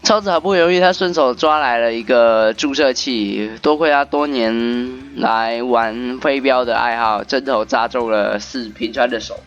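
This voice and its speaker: A man with a fairly high voice comments on a game he is playing. He narrates casually and reacts with exclamations, on a slightly muffled lo-fi mic over soft game music. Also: moderate pace, hardly any pauses. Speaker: gaming video commentator. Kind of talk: casual playthrough commentary, translating and narrating aloud.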